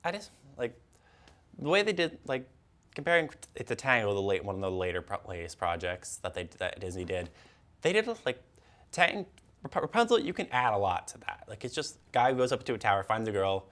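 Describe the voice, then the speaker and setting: A man's voice: on the low side, very animated, a little fast, fairly bright tone. A young man co-hosting a casual film and TV chat, stammering and repeating words as he feels his way through sentences. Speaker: co-host of a film and TV talk show.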